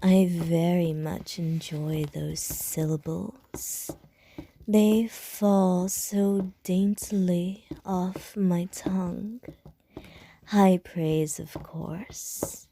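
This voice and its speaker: A woman's voice: on the low side, little pitch movement, extremely slow, mellow, slight background noise. A woman voicing a flirtatious, predatory female vampire in an ASMR roleplay, teasing in sly, often whispered innuendo.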